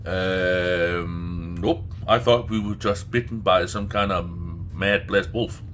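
A man's voice: sits low, slow pace, a bit bright, faint music behind. A man doing casual let's play commentary, reacting aloud in a crude, jokey, exclamatory way.

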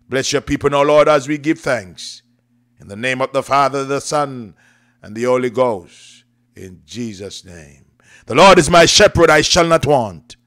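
A man's voice: on the high side, dry recording, studio quality. A man preaching in fervent Pentecostal prayer, declaiming in rhythmic, repeated phrases and reciting scripture.

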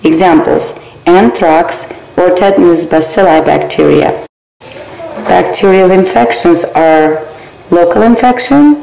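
Gravelly low-voiced woman reading slides. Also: roomy sound, slight background noise, poor audio. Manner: steady, measured slide reading with small grammar slips. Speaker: barbering theory instructor. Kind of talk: reading exam slides aloud.

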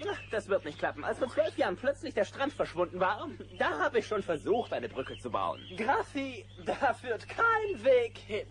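An exuberant cartoon bear who makes sculptures. The voice is very high and swoops wildly, theatrical and scatterbrained, on a cassette radio play with faint noise. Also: male voice, huge pitch swings, moderate pace, few pauses. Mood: breezy, carefree. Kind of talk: German audio drama acting for a cartoon. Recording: noisy old cassette transfer.

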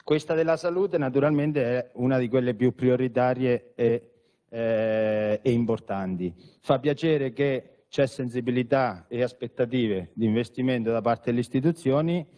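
A man, an entrepreneur, giving earnest remarks at a press conference through a handheld microphone, pausing often to hesitate. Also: medium pitch, warm tone. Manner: earnest, with frequent hesitation sounds. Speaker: entrepreneur and sponsor. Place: large conference hall with an audience.

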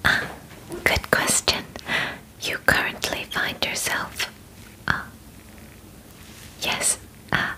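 A young woman voicing a gentle, clinical alien examiner in a breathy ASMR whisper, with halting, formal phrasing. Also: rough recording. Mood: patient, hesitant. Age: young adult. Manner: slow, halting, formal phrasing without contractions. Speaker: ASMR roleplay performer. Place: small bedroom, indoors. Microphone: close microphone.

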